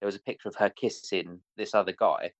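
Low-pitched man, casual storyteller. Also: steady tone, fast talker, no room sound. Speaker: interviewee, friend of the interviewer. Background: guitar music.

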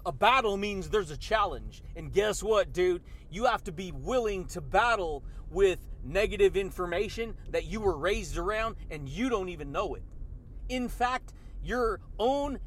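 A man delivers a fired-up motivational talk, his voice pitched high for a man. He talks in a slangy, hyped way, throwing in dude and baby as he addresses the listener. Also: expressive, normal speed, fairly bright tone, slight background noise. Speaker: motivational podcast host. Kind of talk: energetic motivational monologue.

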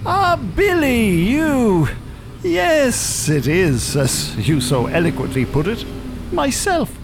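A man's voice: very high voice, very animated, slow pace, background noise, exaggerated voice. An actor playing an eloquent, cantankerous Dublin man in his seventies in a radio drama, wordy and pompous. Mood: wry, genial. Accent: Dublin Irish. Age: 70s. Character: eloquent, cantankerous, world-weary older Dublin gentleman.

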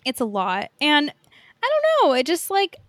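A woman's voice: sits high, huge pitch swings, normal speed, no room sound, studio quality. A woman co-hosting a casual podcast chat about film and TV, speaking hesitantly and often trailing off. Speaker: podcast co-host.

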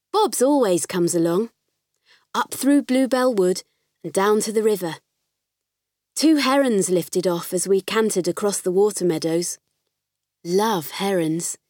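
Audiobook role: spirited farm girl played by a woman, candid diary storytelling, mid pitch, very dry recording. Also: no echo, natural voice. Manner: expressive, conversational storytelling. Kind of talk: audiobook first-person diary narration.

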